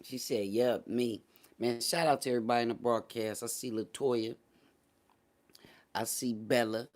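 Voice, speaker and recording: A man's voice: mid-range voice, fairly even intonation, normal speed, a little mellow. A man hosting a livestream, giving casual political commentary to his chat in slangy, profane, conversational talk and repeating phrases for emphasis. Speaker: livestream host.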